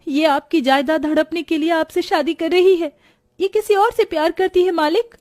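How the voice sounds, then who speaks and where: A woman's voice: extremely high pitch, steady tone, normal speed, darkish tone, no echo, studio quality, pitched above the performer's own voice. A woman voicing an anxious, loyal young maidservant in an earnest, pleading, deferential way for a moral-story cartoon. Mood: urgent pleading.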